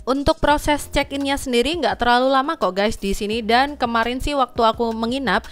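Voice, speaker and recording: An Indonesian woman narrating a travel vlog voice-over in an upbeat, measured way. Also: a little high, fairly bright tone, clean tone. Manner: upbeat, measured, addresses viewers as guys.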